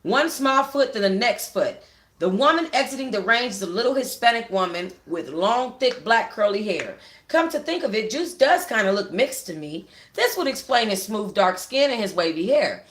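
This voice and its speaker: A woman book club reader narrating a first-person story aloud in an informal, expressive way. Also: mid-range voice, normal speed. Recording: echoey home room.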